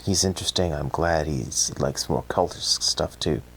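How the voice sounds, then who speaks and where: A man's voice: extremely low pitch, normal speed, a bit bright, slight background noise. A man playing an anxious, obsessive young horror fan in a found-footage audio drama, speaking in a hesitant, rambling way. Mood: reflective, rambling.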